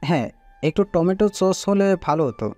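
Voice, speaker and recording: A man's voice: high voice, animated, moderate pace, warm tone, faint music behind. A man playing a chatty young man in an audio family drama. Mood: playful.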